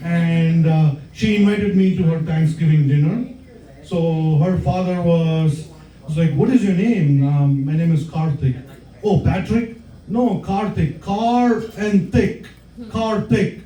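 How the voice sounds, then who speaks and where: South Indian man, dark mellow stand-up voice. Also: a little high, slow pace. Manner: hesitant, filler-heavy storytelling with many ums. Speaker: stand-up comedian. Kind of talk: stand-up set. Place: comedy club stage. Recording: roomy club sound from far off.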